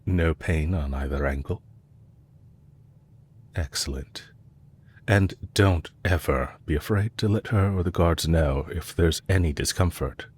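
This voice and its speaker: A man playing a courteous, calculating villain leader in an intimate audio roleplay, speaking politely and with measured, softly teasing delivery. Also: deep voice, slight background noise. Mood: attentive, calm. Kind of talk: intimate scripted audio roleplay addressed to the listener.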